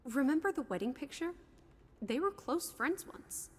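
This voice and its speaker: A woman's voice: sits high, sing-song, moderate pace, darkish tone. An actress playing a cheeky, teasing young 1920s girl companion in a video game, playful and sassy with period slang. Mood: earnest. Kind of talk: lively video game dialogue. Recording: long echoing reverb, background noise.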